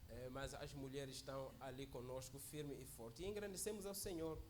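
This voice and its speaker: A man's voice: mid-range voice, normal speed, noisy background. A man who is a guest preacher at a church service, speaking in a chatty, joking way that often asks "né?" and calls for amens. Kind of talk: informal church sermon.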